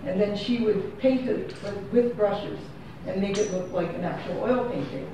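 A woman photographer chats through her work in a rambling, self-correcting way. She is picked up from across a small, very echoey gallery room with no microphone. Steady background noise sits under her voice. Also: mid-range voice, moderate pace, recorded from a distance. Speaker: photographer giving a gallery talk. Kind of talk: casual unscripted talk. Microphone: far room camera, no microphone.

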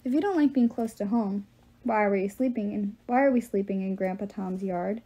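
A woman's voice: medium pitch, normal speed, mellow, close to an everyday voice. A woman voicing an earnest teenage girl in an audiobook, defiant and emotional, pleading and challenging as she speaks. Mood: questioning. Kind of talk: audiobook character voice.

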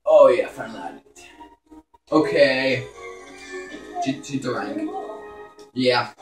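A man's voice: mid-range voice, lively intonation, takes it slowly. A man giving casual live gameplay commentary, exclaiming and swearing in frustration as he plays. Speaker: gaming video host. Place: indoor room in front of a television. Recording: roomy, distant mic, game sound behind. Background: faint game music from television.

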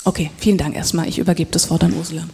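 A woman moderating a conference, introducing the keynote speaker in measured, orderly phrases. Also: fairly low pitch, flat delivery, mellow, a little hiss or hum. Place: conference hall. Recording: long reverb of a conference hall.

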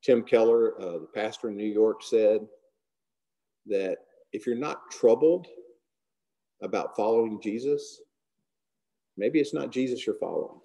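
Middle-aged male guest speaker, mellow low voice, earnest sermon-style talk, softly trailing phrases. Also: creaky, dull audio. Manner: earnest, unhurried, trailing off softly at line ends. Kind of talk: devotional talk and prayer. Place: large hall. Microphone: camera close below the face.

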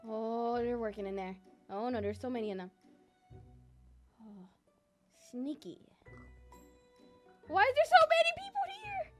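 A woman's voice: fairly high pitch, big pitch swings, unhurried, pauses often. A young woman hosting a gaming video, chatting playfully as she roleplays, recorded close up indoors with music behind. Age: young adult. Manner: giggly, playful. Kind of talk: playful gameplay roleplay chat. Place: indoor home gaming room.

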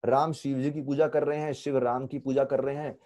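A man in his forties with an Indian accent, giving an online spiritual lecture. He tells stories in a lively way and mixes English phrases into his Hindi. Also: mid-range voice, a little fast. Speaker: spiritual teacher. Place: temple room indoors. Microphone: earphone headset mic on video call.

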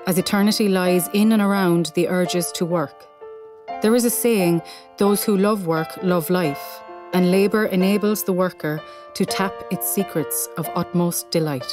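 A solemn woman, played by an actress, recites slowly and poetically over clear music. Her voice sits a little low, as in an audio drama interlude. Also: natural voice. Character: solemn female reciter of aphorisms.

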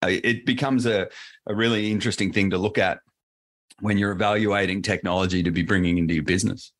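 Australian male guest in his thirties, lowish voice, relaxed and rambling tech talk. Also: fast talker. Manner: rambling, many fillers and restarts. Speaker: presales solution architect, guest. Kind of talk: casual interview answers.

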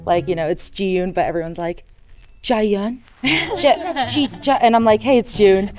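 A woman's voice: medium pitch, unhurried. A woman introducing herself on a studio game show in a chatty, casual way. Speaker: contestant.

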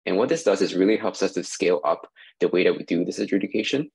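A man giving a recorded conference talk over slides, measured and explanatory, with many "uh" fillers, on a webcam mic. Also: low-pitched, animated, gravelly, breathy edge. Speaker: industry researcher giving a talk.

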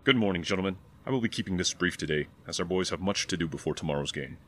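An actor playing a stern, high-minded older 1920s college football coach in an audio drama, speaking American English with formal, pompous oratory. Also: male voice, lively intonation, fast. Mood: solemn, assured. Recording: clearly noisy background ambience.

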